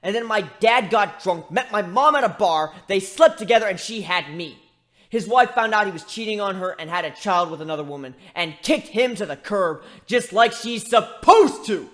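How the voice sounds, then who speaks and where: A man's voice: high-pitched, lively intonation, normal speed, fairly bright tone. A man voicing a young male griffin in a dramatic story reading, hurt and bitter, ranting with sarcastic emphasis. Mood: bitter, anguished. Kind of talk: dramatic fan fiction reading.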